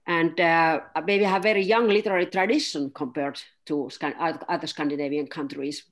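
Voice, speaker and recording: A woman author with a Finnish accent, speaking haltingly with many fillers on a video call panel. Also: low voice, steady tone. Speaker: guest author. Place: home room.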